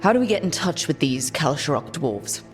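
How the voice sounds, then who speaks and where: A woman playing a steady, supportive adventurer and leader in a video game, calm and matter-of-fact. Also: low voice, moderate pace, faint music behind. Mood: determined.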